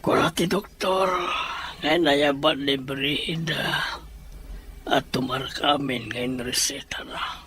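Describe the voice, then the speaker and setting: An actor playing a weary, ailing and suspicious head of a household in a radio drama, with strained delivery. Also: male voice, medium pitch, slow pace, sharp tone, slight background noise. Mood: weak, suspicious.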